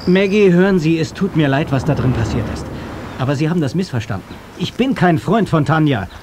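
Man playing a probing, higher-voiced investigator hero. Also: lively intonation, fairly quick, close to an everyday voice. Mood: apologetic, conciliatory. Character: determined, calm male investigator hero seeking answers. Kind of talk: German audio drama acting. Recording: faint noise, cassette transfer.

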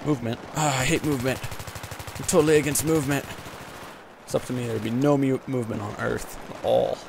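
A man's voice: mid-range voice, fairly even intonation, brisk, vocal fry. A young American man giving casual, slangy gameplay commentary, exclaiming and muttering to himself as he plays. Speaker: gamer recording a playthrough. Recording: gunfire and game noise behind voice. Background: video game gunfire and explosions.

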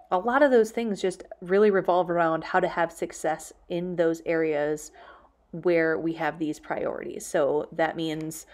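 A woman's voice: fairly low pitch, darkish tone. A woman in her thirties, a homemaking vlogger, answering questions casually in a candid, reflective, explanatory way.

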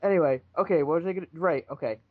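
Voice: mid-range voice, very fast, phone-quality sound, slight background noise. A video co-host in casual, joking chat, rambling through mock explanations.

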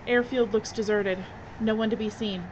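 A woman's voice: medium pitch, fairly even intonation, normal speed, slight background noise, natural voice, low-quality recording. A woman playing an urgent, resolute heroine defying a villain in an audio drama. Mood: alert.

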